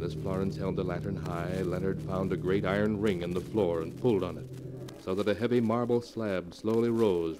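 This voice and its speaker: A man performs as a solemn, ominous horror narrator from 1940s radio. His low voice delivers scripted narration with dramatic weight. The old broadcast has clearly audible noise. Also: warm tone, natural voice. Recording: noisy 1940s radio recording.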